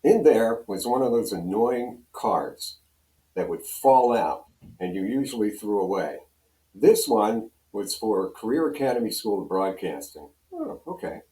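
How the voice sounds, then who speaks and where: A man's voice: lowish voice, animated, a little slow, mellow. An older American man from Pennsylvania, a radio program director, telling casual, folksy, self-deprecating anecdotes as a guest. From Pennsylvania, USA. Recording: roomy echo, distant from microphone.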